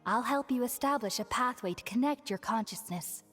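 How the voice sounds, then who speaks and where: A woman's voice: fairly high pitch, normal speed, a bit bright, breathy edge, soft background music. An actress playing a devout young female scholar in a video game, effusive and reverent in manner. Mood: eager.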